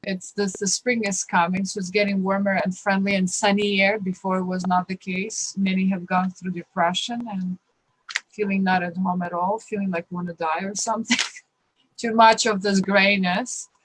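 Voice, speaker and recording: A woman from Ukraine with a Ukrainian accent, a guest talking earnestly and warmly about her life, rambling and restarting her sentences. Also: medium pitch, level tone, normal speed, slight background noise, low-quality recording. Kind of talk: casual interview talk, personal advice.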